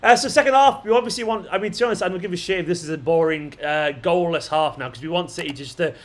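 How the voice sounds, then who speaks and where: A man's voice: a little high, quick pace. A man hosting a football watchalong livestream, chatting casually and matily to viewers, his voice starting to go. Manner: informal matey address, often saying "man", "mate" and "pal". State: losing his voice. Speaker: fan channel livestream host.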